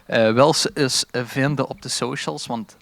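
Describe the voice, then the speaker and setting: A man's voice: medium pitch, steady tone, moderate pace, vocal fry, fairly bright tone, no room sound. Limburgish man in casual, dry-sounding podcast recording. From Limburg, Netherlands. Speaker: podcast co-host.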